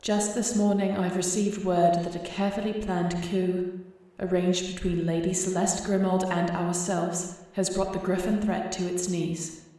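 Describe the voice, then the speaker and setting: A woman's voice: lowish voice, little pitch movement, mellow, natural voice. Echoey, solemn young dragon queen played by a woman. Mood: solemn, earnest. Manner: formal, measured, earnest. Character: newly crowned young dragon shifter queen, solemn, shaken, dutiful. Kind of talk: scripted audio roleplay acting.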